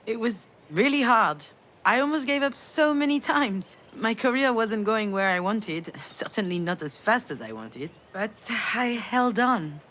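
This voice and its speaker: An actress playing a weary, grieving mother in a video game, soft and wry, with a self-deprecating manner. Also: on the high side, expressive, measured pace. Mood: tender, confessional. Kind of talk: naturalistic video game drama acting.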